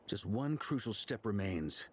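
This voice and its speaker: An actor playing an assured, easygoing veteran knight commander in a video game, explaining plans with a wry edge. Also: male voice, lowish voice, expressive, unhurried, a little hiss or hum. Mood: briefing, confident. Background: game music.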